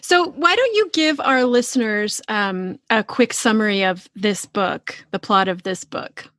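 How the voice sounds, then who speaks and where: A woman's voice: mid-range voice, measured pace, fairly bright tone, no echo, clean studio sound. A woman hosting a podcast in casual interview style, thinking aloud informally. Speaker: podcast host.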